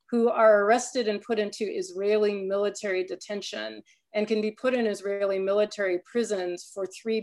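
A woman speaking on a panel in an impassioned, emphatic way. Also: mid-range voice. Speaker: panel guest. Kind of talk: panel discussion.

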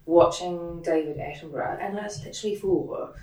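A woman from New Zealand with a New Zealand accent, talking as a guest in a casual interview. She speaks quickly and animatedly, with lots of "like" and laughter. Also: lowish voice, mellow. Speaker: interview guest, production head. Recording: roomy sound, distant from the mic.

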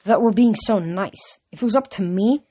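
A woman's voice: medium pitch, normal speed, dark tone, close to an everyday voice. Sneering bully war god, as a woman reads him. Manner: sneering, threatening.

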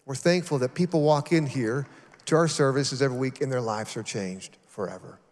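A man's voice: medium pitch, fairly quick. A man speaking as a pastor to his congregation, earnest and emphatic, building up lists of words. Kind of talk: addressing the congregation. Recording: long hall reverb.

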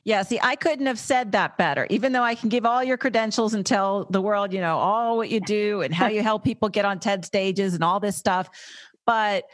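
A woman's voice: mid-range voice, normal speed, no echo. A woman hosting a podcast interview in an upbeat, effusive, chatty way. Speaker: podcast host. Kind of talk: casual interview chat.

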